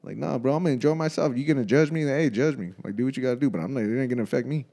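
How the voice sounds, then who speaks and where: A man in his thirties chatting on a podcast, talking quickly in a relaxed, slangy way. He says bro constantly and often breaks into laughter. His pitch sits in an ordinary middle range. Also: gravelly. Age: 30s. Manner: laid-back slangy talk, says bro a lot. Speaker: podcast co-host. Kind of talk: casual chat.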